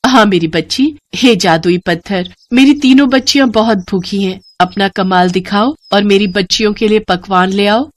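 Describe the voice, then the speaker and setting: A woman's voice: mid-range voice, moderate pace, few pauses, lightly acted. A kind-hearted poor mother voiced by a woman in a moral tale. Her mid-range voice is humble and earnest, with a pleading, forgiving way of talking. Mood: tender, hopeful. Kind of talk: Hindi moral storybook acting.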